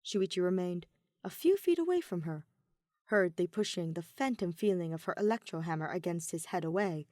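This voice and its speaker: A woman's voice: on the low side, expressive, brisk, a little mellow, no echo. Woman narrating fiction, dry close sound. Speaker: audiobook narrator of fan fiction. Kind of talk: scripted dramatic narration.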